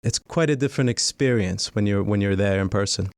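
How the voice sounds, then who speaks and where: Smooth, low-voiced man telling his story. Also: brisk, no room sound, clean studio sound. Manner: articulate, earnest storytelling. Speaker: guest, lawyer. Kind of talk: interview. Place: studio podcast table.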